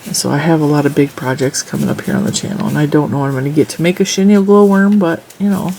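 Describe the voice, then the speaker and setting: A woman with a stuffy, congested nose talks casually while she crochets and counts off her stitches and rows. Her voice has a gravelly fry, and a faint hiss of noise sits behind it. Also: low voice, fairly even intonation, fast, mellow. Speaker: crochet tutorial host. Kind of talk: casual crochet tutorial with chat.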